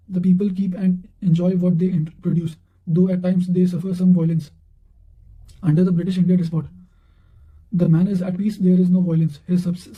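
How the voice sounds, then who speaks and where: A man with a high-pitched voice and a very dark, soft-edged tone reads study material aloud. His intonation stays flat, and he reads haltingly, tripping over words and starting them again. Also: poor audio. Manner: halting reading with stumbles and repeated words. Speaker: narrator reading quotations for exam revision. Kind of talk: read-aloud of quotations and study notes.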